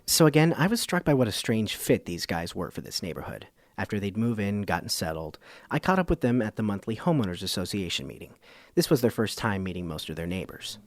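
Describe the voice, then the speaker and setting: A man plays a puzzled, earnest documentary host in a radio comedy. He has a fairly low, very expressive voice in a dry recording, scripted and conversational. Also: a little fast, no echo, soft background music. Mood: puzzled, curious. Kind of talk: public radio scripted narration and interviewing.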